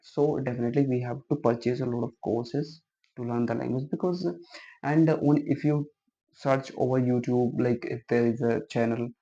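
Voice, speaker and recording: Male vlogger with a Hindi mother tongue, a level and even delivery, hesitant with lots of fillers, and dull lo-fi sound. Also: medium pitch, moderate pace. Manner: hesitant, many fillers and restarts, encouraging. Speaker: English-speaking vlogger. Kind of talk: casual talk to camera, giving advice.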